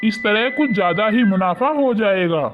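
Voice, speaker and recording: A man playing an earnest, respectful young poor farmer in an animated moral story. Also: high voice, normal speed. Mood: earnest, determined. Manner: respectful, pleading. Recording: muffled lo-fi audio under loud music.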